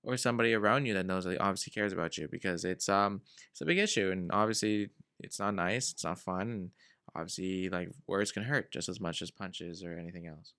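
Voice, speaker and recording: A man's voice: low voice, fairly quick, a bit bright, clear, resonant tone. A man from Venezuela, a guest in a casual conversation, talking in a laid-back way and giving earnest advice.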